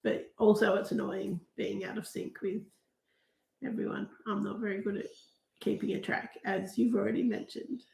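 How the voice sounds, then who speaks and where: A woman co-hosting a casual live stream while she has a cold, laughing often and adding quiet side comments. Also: medium pitch, level tone, normal speed, vocal fry, echoey room, slight background noise, rough recording.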